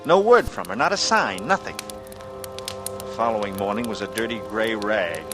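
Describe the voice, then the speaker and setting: A man's voice: on the low side, lively intonation, moderate pace, natural voice. An actor playing a haunted, intense man in a 1940s radio thriller, brooding and dramatic in manner. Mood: brooding narration. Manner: brooding, dramatic, swinging into anguished outbursts. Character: haunted, intense male lover and war veteran. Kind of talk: 1940s radio drama acting with first-person narration. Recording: vintage radio recording with music.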